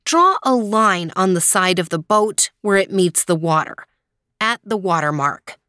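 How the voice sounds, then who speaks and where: A woman's voice: medium pitch, animated, a little slow, pushed and bright, no room sound, studio quality. A woman voicing a clever, eager young boy explaining his plan in a children's story. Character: clever, eager little boy, the emperor's son.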